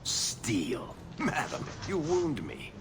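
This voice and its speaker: An actor playing a smooth, teasing rogue in a fantasy video game. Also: male voice, a little high, huge pitch swings, drawn-out words, over music, heavily acted. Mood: sly, teasing.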